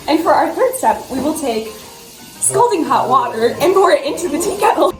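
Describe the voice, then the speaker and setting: A young woman with a high, animated voice gives step-by-step tutorial instructions. Her recording is echoey, with faint music behind. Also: normal speed. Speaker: tutorial presenter. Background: faint background music.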